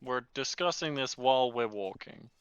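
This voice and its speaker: A man's voice: mid-range voice, normal speed, a bit bright, clear, resonant tone, no echo, muffled recording. A man playing in a tabletop game, giving short, laid-back replies in casual banter. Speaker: player.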